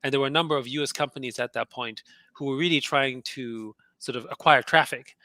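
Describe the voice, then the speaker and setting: A man's voice: medium pitch, a little fast, pushed and bright, no echo. A middle-aged man, originally from Austria with German as his first language, casually telling his story in an interview, chatty with frequent laughs and restarts. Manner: chatty, laughs often, many fillers and restarts. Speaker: guest entrepreneur.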